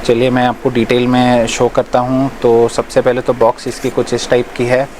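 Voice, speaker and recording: Guy doing a casual product demo, pretty flat delivery, explains stuff with english words mixed in, noisy traffic in background. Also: medium pitch, normal speed. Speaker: shop presenter reviewing riding gear. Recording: clear background noise, vehicle sounds.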